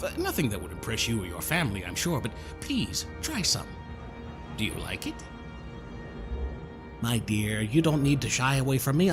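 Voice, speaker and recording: A man playing a smooth-talking, mock-polite con man villain in an audio drama, wordy and falsely kindly. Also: mid-range voice, close to an everyday voice. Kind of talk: scripted audio drama acting.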